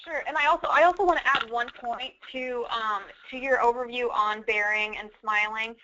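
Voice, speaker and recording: A woman's voice: fairly high pitch, steady tone, normal speed, background noise. A woman co-presenting career advice in a conversational, explanatory and methodical way. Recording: dull, band-limited audio.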